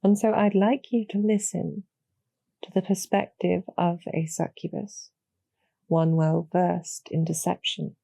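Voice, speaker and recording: Woman voicing a slow, husky, creaky demoness. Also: on the low side, level tone, no echo. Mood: knowing, gentle. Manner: measured, deliberate, softly persuasive. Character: calm, knowing demoness succubus archer, former hero, loyal to her queen. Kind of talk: slow, intimate audio roleplay monologue.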